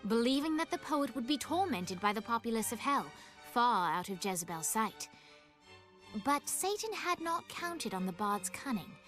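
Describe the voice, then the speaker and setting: A woman playing a composed storybook narrator, telling game lore in a measured, scripted way. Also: a little high, a bit bright. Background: music.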